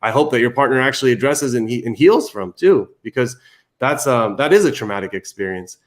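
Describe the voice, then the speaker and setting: A man's voice: mid-range voice, lively intonation, brisk. Reassuring male family therapist from Iran. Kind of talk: empathetic advice to a caller.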